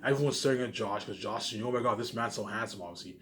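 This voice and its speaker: A man's voice: on the low side, rapid-fire, fairly bright tone, slight background noise. A man talking casually to his viewers in a rambling monologue, boastful and self-assured, repeating himself and tacking trailing asides onto his phrases. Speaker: vlogger.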